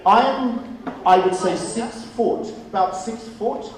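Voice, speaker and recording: A man's voice: high voice, slow. A man in his forties hosting a live question-and-answer session with an audience after a stage show, jovial and repeating answers back. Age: 40s. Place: performance venue before a live audience. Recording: very echoey venue, distant mic, crowd noise.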